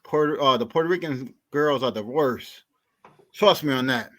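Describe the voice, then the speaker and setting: A man's voice: medium pitch, moderate pace, fairly bright tone. A man in his forties co-hosting a podcast, cracking crude jokes and swearing. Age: 40s. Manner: crude joking, swearing and laughing. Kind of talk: casual chat.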